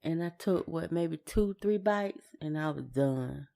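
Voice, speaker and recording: Woman, low-pitched voice, podcast co-host, casual personal talk, hesitant, repeats phrases. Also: measured pace, gravelly, mellow.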